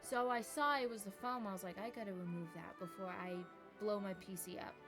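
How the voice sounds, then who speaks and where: A woman's voice: medium pitch, steady tone, fairly quick, music in the background. A woman game streamer chatting casually to viewers in a rambling, self-deprecating way. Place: indoors at a home gaming setup. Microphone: streaming microphone.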